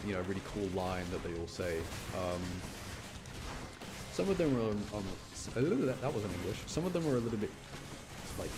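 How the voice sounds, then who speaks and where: Low-voiced man rambling over a video game. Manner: enthusiastic, rambling, slangy. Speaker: game streamer. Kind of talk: casual gameplay commentary.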